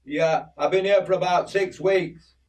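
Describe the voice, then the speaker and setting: Man playing a young Yorkshire farmhand, polite, plain-spoken, a bit high, from a distance. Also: steady tone, measured pace.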